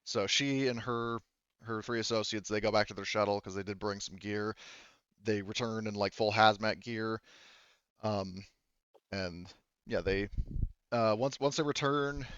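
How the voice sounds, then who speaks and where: Smooth low-voiced man, halting game master. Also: fairly even intonation, a bit bright, no room sound, lo-fi sound. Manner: halting, thinks aloud while narrating. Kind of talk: narrating a tabletop roleplaying game.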